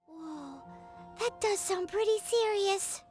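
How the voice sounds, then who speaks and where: Tiny fairy sidekick, very high squeaky kid voice, unhurried, blunt, for a game. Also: female voice, darkish tone, soft background music. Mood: impressed. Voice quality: squeaky, childlike. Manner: blunt, refers to herself in third person. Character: tiny childlike floating fairy companion, blunt and easily baffled. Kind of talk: video game cutscene acting.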